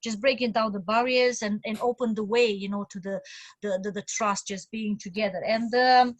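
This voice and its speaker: A woman's voice: medium pitch, fairly even intonation, moderate pace, dry recording, rough recording. A woman from Romania, giving an informal, enthusiastic talk on a video call, with many fillers and restarts. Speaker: community speaker at an online meeting.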